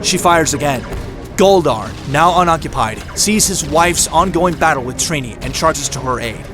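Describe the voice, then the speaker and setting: Male narrator for action audio drama, fairly high register, scripted storytelling under a loud score. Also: lively intonation, moderate pace. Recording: loud music bed. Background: loud music.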